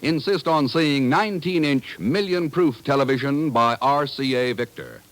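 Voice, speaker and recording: A man's voice: medium pitch, normal speed, a bit bright, low-quality recording. A man working as a 1950s radio announcer, reading a script in a polished, emphatic way.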